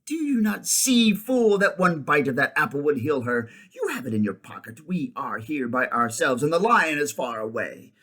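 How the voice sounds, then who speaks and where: A man's voice: fairly high pitch, sing-song, normal speed, few pauses, pushed and bright, exaggerated voice. A man voicing a proud, scornful witch queen in a storybook, with a grand, commanding, coaxing manner. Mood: pressing, coaxing.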